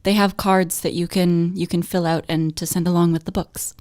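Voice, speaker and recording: A woman's voice: lowish voice, little pitch movement, moderate pace, darkish tone, no room sound, clean studio sound. A young adult woman co-hosting a student radio show, chatting casually through news items and laughing often.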